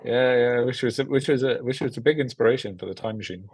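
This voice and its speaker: A man's voice: medium pitch, fast, a little mellow, slightly rough, dry recording. A man in his thirties chatting casually as an interview guest on a video call, often repeating words for emphasis. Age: 30s. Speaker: film director and producer, interview guest. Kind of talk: casual chat. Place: small furnished room at home, indoors. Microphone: video call with earbuds.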